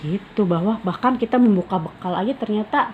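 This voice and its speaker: A woman reads aloud as a storyteller in a mid-pitched voice. She breaks into informal, explanatory asides. Clear noise sits in the background. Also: a little fast, phone-quality sound. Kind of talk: storybook reading with casual explanations.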